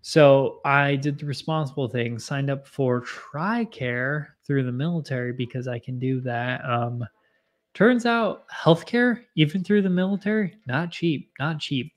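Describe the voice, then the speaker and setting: A man's voice: medium pitch, measured pace. Chatty rambling young man at home bar. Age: 20s. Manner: rambling, self-deprecating, chatty. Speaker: livestream host. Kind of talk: casual live chat with viewers. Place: home bar with bottle shelves, indoors. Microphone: boom mic in front of face.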